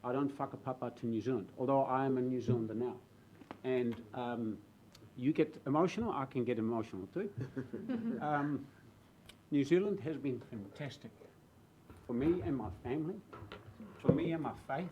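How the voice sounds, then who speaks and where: A man from the Netherlands with a Dutch accent, a new councillor speaking earnestly in a council chamber, halting with fillers and restarts. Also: mid-range voice, animated, highs cut off, a little hiss or hum. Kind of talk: speech in a council debate. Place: council chamber with public gallery.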